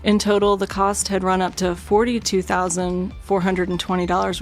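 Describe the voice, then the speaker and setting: Woman, podcast host, true crime storytelling, chatty, mid-pitched, faint music underneath. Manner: chatty, self-deprecating, laughs easily.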